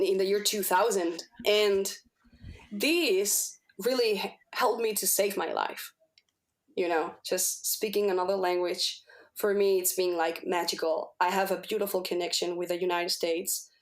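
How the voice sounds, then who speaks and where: A woman whose first language is Spanish, a podcast guest giving a personal testimonial, earnest and often searching for words as she repeats herself. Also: mid-range voice. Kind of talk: personal testimonial in casual conversation.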